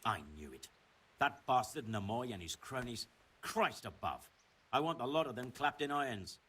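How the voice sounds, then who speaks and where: An actor playing a stern, middle-aged medieval mining chief in a video game, brusque and commanding, with formal wording. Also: male voice, medium pitch, expressive, moderate pace, fairly bright tone, slightly rough. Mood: angry. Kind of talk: scripted video game dialogue. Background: music.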